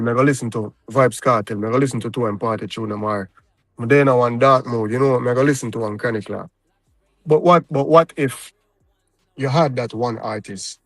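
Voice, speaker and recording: A man's voice: medium pitch, dry recording. Laid-back Jamaican man in dry interview. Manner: laid-back, peppers talk with "you understand?". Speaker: recording artist guest. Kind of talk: casual interview talk.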